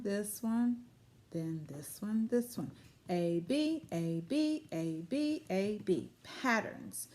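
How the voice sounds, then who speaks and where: A woman's voice: mid-range voice, a little mellow. A woman teaching a children's lesson on video, speaking in simple, repetitive phrases and prompting with questions. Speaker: early grade school teacher.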